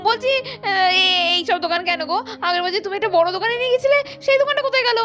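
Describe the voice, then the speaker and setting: Lady doing a bossy doll-cartoon wife, super high voice, talks fast, exclaims a lot. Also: lively intonation, a bit bright. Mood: nagging, indignant. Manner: nagging, repetitive, exclamatory. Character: bossy, demanding, money-loving wife, easily won over. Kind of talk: doll cartoon story voice acting. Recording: faint music behind the voice.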